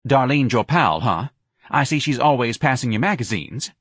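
A man's voice: mid-range voice, lively intonation, normal speed, husky, dry recording, studio quality, voice pushed up. A man voicing a hard, scheming woman who runs a bar, curt and browbeating, in an audiobook. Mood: angry.

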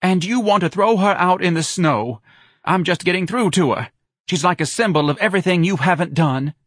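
Man playing a high-pitched, nagging, indignant wife. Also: normal speed, husky, dry recording, clean studio sound. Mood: triumphant. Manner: indignant, reproachful, dramatic. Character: nagging, self-righteous, melodramatic middle-aged wife.

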